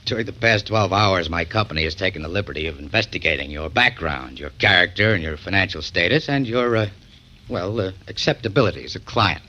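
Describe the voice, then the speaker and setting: Slick oily murder salesman, polite patter, sharp lowish voice, old radio crime show. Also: animated, a little fast, lo-fi sound, exaggerated voice. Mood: ingratiating. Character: smooth, oily salesman for a murder-for-hire firm. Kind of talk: 1940s radio crime drama acting.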